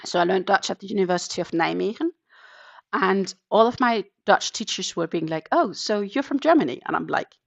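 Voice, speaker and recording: A woman's voice: mid-range voice, expressive, fairly quick, gravelly. A woman in her thirties, a native Danish speaker, chatting casually on a live crochet stream and counting her stitches aloud from her home. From Denmark. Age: 30s. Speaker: crochet channel host. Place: home in the Netherlands. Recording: dull lo-fi live-stream audio.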